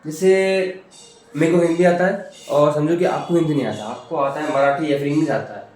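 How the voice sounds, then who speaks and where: A man's voice: a little high, steady tone, a little fast, a little mellow. A man teaching informally, explaining step by step, often repeating himself and asking rhetorical questions. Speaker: programming teacher. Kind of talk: informal spoken tutorial explanation. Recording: very echoey room, distant mic, faint noise.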